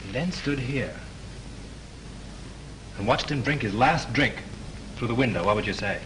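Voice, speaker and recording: A bright-voiced young sleuth. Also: male voice, mid-range voice, animated, poor audio. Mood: curious. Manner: brisk, leading and instructive. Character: sharp young male screenwriter sleuth. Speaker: amateur sleuth in a 1930s film. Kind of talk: 1930s film acting. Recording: hissy old 1930s film soundtrack.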